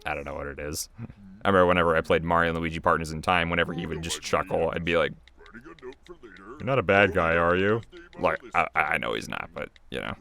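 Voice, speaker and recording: A man's voice: sits low, lively intonation, brisk, creaky, pushed and bright. A man giving casual, unscripted commentary as a video creator, rambling and chuckling in a conversational way.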